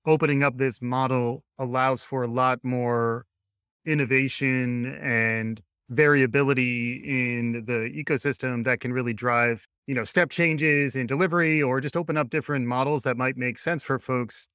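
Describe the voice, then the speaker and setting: A man's voice: mid-range voice, fairly even intonation, normal speed, fairly bright tone, smooth, no echo, studio quality. A young adult man hosting an education podcast, upbeat and joking, who asks long, rambling questions and mentions being a dad. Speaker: podcast host. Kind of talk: podcast interview.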